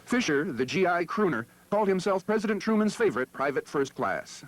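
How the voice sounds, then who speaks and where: A man's voice: high-pitched, big pitch swings, brisk, low-quality recording. An American man reading scripted narration for a broadcast history documentary.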